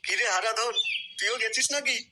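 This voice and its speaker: Crude village gossip for a cartoon, female voice actor, slightly high, teasing, buried in loud birdsong noise. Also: brisk, natural voice. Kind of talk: adult comedy cartoon dialogue. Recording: very noisy, loud birdsong behind.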